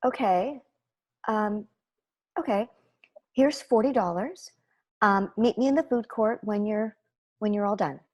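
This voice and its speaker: A woman playing a gentle, well-meaning suburban mother in a table read, soft and hesitant. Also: medium pitch, unhurried, long pauses, natural voice. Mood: hurt, gentle. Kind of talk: comedic sitcom table read.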